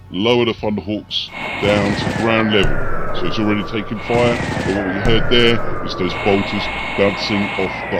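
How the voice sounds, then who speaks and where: A man giving tactical commentary on a video game as he plays, talking through each move and reacting to it. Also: lowish voice. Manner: narrates tactics and reacts to the action. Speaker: gaming video host.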